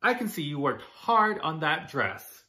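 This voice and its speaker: A thoughtful, supportive storybook dad, read by a man. He speaks in a bright tone with plenty of rise and fall. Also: a little high, big pitch swings, unhurried, pitched above the performer's own voice, heavily acted. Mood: cautiously supportive. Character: Jacob's father, measured, supportive man.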